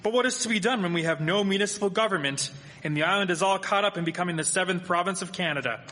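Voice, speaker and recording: An actor playing a cheerful, polite 1870s village gentleman in a live radio drama, with formal old-fashioned diction. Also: high-pitched, quick pace. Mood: reasoning. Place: performing arts theatre stage. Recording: echoey hall, live stage performance.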